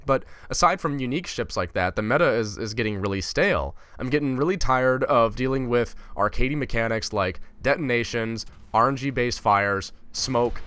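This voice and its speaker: A young gaming YouTuber from Finland speaks in a casual vlog with a bright, forceful voice. He rants in an exasperated, sarcastic way. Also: medium pitch, moderate pace, few pauses, clean tone, no room sound.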